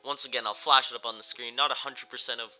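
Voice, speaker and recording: A man's voice: mid-range voice, fairly even intonation, quick pace, sharp tone. A young man with a stuffy, congested voice, casually commenting on his gameplay, rambling with many ums and self-corrections. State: stuffy nose from a cold. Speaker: gaming YouTuber. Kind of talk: casual Let's Play commentary. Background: game music.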